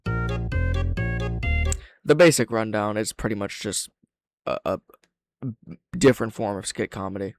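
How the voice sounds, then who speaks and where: A man's voice: lowish voice, big pitch swings, unhurried, long pauses, faint music behind. A man hosting a casual reaction commentary, thinking aloud with plenty of slang and swearing. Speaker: reaction video host.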